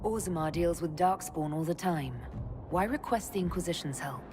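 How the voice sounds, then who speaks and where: A woman performs a composed heroine and commander in a fantasy game. She speaks directly and inquisitively in a slightly low voice. A musical score is clearly audible underneath. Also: moderate pace, over music, close to an everyday voice. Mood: composed, inquiring. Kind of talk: video game cutscene acting.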